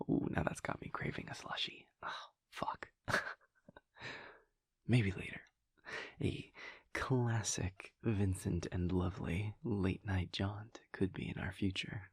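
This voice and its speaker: A man playing a playful, affectionate vampire boyfriend in an intimate audio roleplay, talking casually and teasingly in a breathy, gravelly voice that often drops near a whisper. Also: lowish voice, slow, long pauses, dry recording, studio quality, exaggerated voice. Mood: playful, fond. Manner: wry, teasing, hesitant, chuckling casual delivery.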